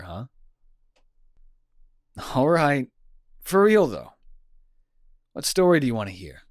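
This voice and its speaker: A dry, close recording of a man playing a young boyfriend in a comfort roleplay. His mid-pitched voice moves around a lot, casual and playful, with gentle teasing and a caring manner toward a sick partner. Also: no echo. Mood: teasing, fond. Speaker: audio roleplay performer.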